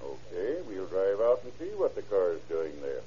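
A man's voice: sits low, wildly swooping pitch, normal speed, dark tone, highs cut off, slight background noise. An actor, a man, playing an easygoing, observant small-town deputy sheriff in a folksy manner in a radio drama. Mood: practical. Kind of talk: 1930s radio drama acting. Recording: band-limited old radio drama recording.

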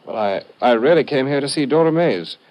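Man playing a defensive young British toff, animated. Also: medium pitch, fairly quick, lo-fi sound, lightly acted. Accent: upper-class British. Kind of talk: old-time radio detective drama acting.

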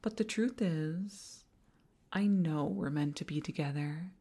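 A woman playing an obsessive older female boss in an intimate audio roleplay, coaxing with condescending sweetness and slipping into breathy half-whispers. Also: deep voice, takes it slowly, dark tone, heavily acted. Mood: possessive, obsessive.

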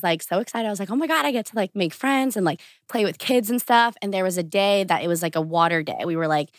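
A woman's voice: mid-range voice, a bit bright, dry recording. Young brazilian-born woman, rambly casual podcast guest, dry close mic. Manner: chatty, lots of "like", laughs often.

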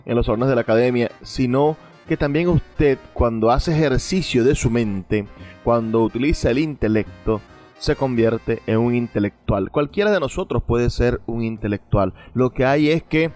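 A man's voice: medium pitch, normal speed, a little mellow. Venezuelan man hosting radio over music. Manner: earnest, emphatic with repeated words. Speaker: radio host. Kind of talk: conversational radio presenting about literature. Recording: music playing behind the voice. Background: background music.

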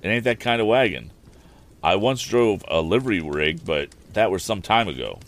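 A man's voice: on the low side, lively intonation, normal speed. A man voicing a rustic older handyman who drives a mule, long-winded and deferential. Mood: earnest.

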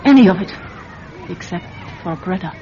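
A woman's voice: fairly low pitch, lively intonation, moderate pace, dark tone, a faint crowd, a little hiss or hum, lightly acted. An actress playing a proud, emotional woman drawn into espionage in a radio drama, speaking with earnest, wounded intensity. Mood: haunted, sorrowful. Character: proud, emotional young woman volunteering as a spy. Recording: dull radio recording with faint music. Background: faint music.

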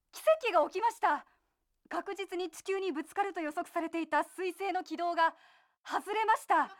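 A woman's voice: very high voice, fairly bright tone. A woman playing a newsreader in a radio drama, making emphatic, formal breaking-news announcements. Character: female newsreader breaking miraculous end-of-world reprieve.

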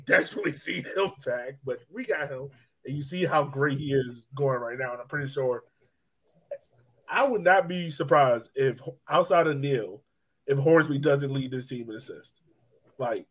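A man co-hosting a podcast, chatting casually about sport in an emphatic way, repeating himself for effect. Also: fairly high pitch. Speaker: podcast co-host. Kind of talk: casual sports chat and analysis.

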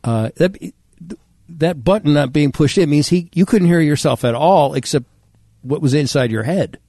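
A man's voice: fairly high pitch, moderate pace, mellow, no echo. A man hosting his own podcast, rambling and self-mocking in casual studio banter, laughing often. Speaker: podcast host. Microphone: studio microphone.

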